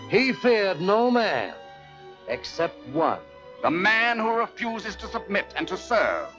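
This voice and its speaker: A woman's voice: mid-range voice, sing-song, slow, over music. A woman playing a grave, ominous melodrama narrator in the style of a film trailer, on a dull old soundtrack. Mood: ominous, dramatic.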